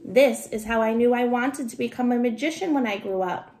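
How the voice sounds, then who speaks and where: A middle-aged woman reading a children's story aloud expressively, with deliberate, emphatic phrasing. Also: on the high side, a little mellow. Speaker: storybook reader. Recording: roomy home recording with noticeable hiss.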